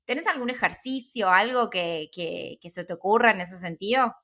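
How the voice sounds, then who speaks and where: Lady interviewer, mid pitch, casual, feels her way through questions. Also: animated, moderate pace, sharp tone.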